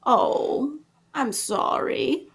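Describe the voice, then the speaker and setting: A woman's voice: high-pitched, extremely slow, creaky, breathy edge, exaggerated voice. A woman voicing a chatty, flustered, prim young lady cat in a gushing, theatrical, rambling way. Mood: chatty, nosy.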